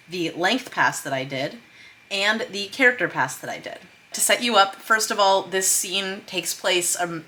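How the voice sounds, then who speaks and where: A woman vlogger talks the viewer through her work in a chatty, explanatory style. Her voice is bright and forceful, sitting a little lower than most women's. She leans on key words for emphasis. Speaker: host of a filmmaking vlog series. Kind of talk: explanatory vlog to camera with screen share.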